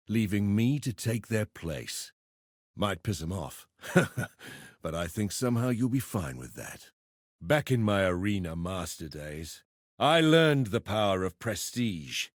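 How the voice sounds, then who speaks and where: A man's voice: fairly low pitch, no room sound. A roguish pit fighter from a video game, swaggering and slangy. He talks like a theatrical, cheeky schemer with a tough edge. The recording is dry and close. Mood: wry, scheming. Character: roguish adult male arena fighter and pitmaster turned syndicate member. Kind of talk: video game character voice lines.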